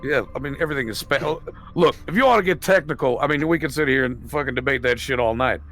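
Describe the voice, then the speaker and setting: A man's voice: mid-range voice, fast talker, a bit bright, faint music behind. Profane, opinionated man playing a tabletop game. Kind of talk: casual banter in a tabletop game.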